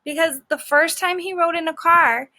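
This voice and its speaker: A woman teacher reading a children's book aloud, pausing to explain things simply and ask questions. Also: very high voice, bright, forceful tone, no echo.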